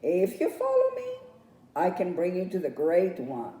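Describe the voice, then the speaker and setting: A woman's voice: fairly low pitch, wildly swooping pitch, a little slow, mellow. A woman voicing a helpful, earnest female bird who brings messages to young goats in a storybook. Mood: helpful, inviting. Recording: roomy echo, distant microphone.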